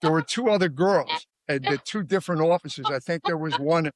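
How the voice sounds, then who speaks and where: A man with a Philadelphia accent who talks through a case in a rambling, emphatic style. His voice sits a bit high for a man. It is recorded very dry, with no room sound. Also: moderate pace, dry recording. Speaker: podcast guest. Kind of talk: casual true-crime discussion.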